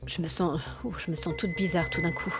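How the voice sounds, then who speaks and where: A woman's voice: fairly low pitch, fairly even intonation, a little fast, loud music behind the voice, lightly acted. A woman playing an exasperated, haughty hotel guest with complaints, in an acted audio fiction monologue. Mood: faint, uneasy. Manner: haughty, aggrieved, demanding. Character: indignant, exasperated female hotel guest complaining about ghosts.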